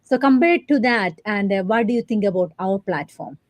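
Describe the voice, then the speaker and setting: A woman hosting a live interview over a video call, friendly and halting with lots of fillers. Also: medium pitch, lively intonation, a little mellow, dry recording. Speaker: host.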